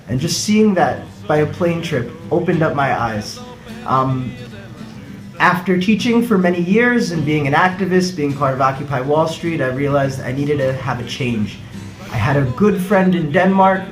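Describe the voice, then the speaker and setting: Earnest American startup founder, echoey room. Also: male voice, a little high. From United States. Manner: earnest, speechlike delivery with rhetorical questions. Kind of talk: scripted pitch video. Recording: echoey room, faint music behind.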